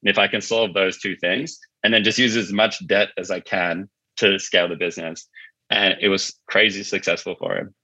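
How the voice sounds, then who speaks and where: A man's voice: low voice, fairly even intonation, moderate pace, bright, forceful tone, breathy edge, no echo, lo-fi sound. A man speaking as an interview guest in a casual, informal way, explaining things as he thinks aloud. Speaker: podcast guest, marketing agency founder.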